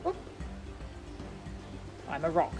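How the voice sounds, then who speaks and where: A woman's voice: slow, over music. A woman casually commentating on a game livestream, playful, with muttered asides and little sing-song sounds. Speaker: game streamer. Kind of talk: gaming livestream, casual commentary, reading on-screen text aloud.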